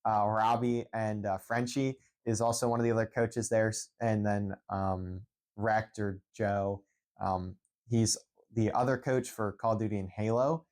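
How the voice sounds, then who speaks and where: A man's voice: on the low side, steady tone, unhurried, darkish tone. Young man rambling into his phone. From Central Pennsylvania. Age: young adult. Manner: rambling, many restarts and fillers. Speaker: esports head coach. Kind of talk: unscripted vlog monologue.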